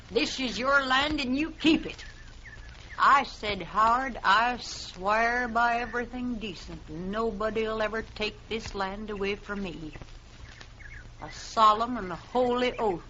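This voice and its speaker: Woman acting a forceful, defiant western homesteader widow. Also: a little high. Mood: solemn, resolute. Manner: forceful, repetitive, folksy plain speech. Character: defiant stubborn widowed homesteader woman guarding her land. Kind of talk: old-time radio western acting. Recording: dull lo-fi recording with background noise.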